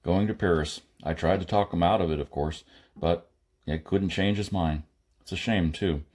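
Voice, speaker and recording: A man voicing a gruff, no-nonsense spaceman with a curt, matter-of-fact delivery in an audiobook. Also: sits low, normal speed, a little mellow, voice pushed down. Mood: gruff, brusque.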